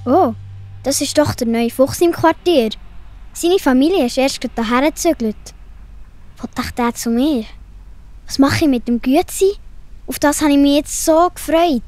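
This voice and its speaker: High-voiced Swiss German animal girl played by a woman. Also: normal speed, a little mellow, no room sound, natural voice. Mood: worried, hesitant. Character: Lila, friendly young female animal child. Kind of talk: children's audio play acting.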